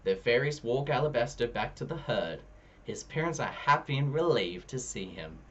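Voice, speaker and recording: A man reading a children's story aloud expressively, shifting his pitch between narration and dialogue. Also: lively intonation, normal speed, fairly bright tone, muffled recording, slight background noise. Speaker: storybook reader.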